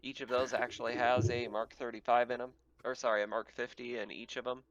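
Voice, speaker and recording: A man's voice: mid-range voice, level tone, fairly quick, a bit bright, no room sound, muffled recording. Casual male game master, hesitant delivery. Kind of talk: casual tabletop game narration.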